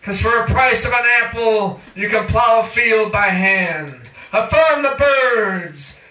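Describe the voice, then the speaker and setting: A man's voice: high-pitched, sharp tone. A man reciting poetry live in an incantatory way, drawing out and repeating his phrases. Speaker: featured poet at a reading. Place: indoor cafe poetry reading. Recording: echoey room, distant microphone.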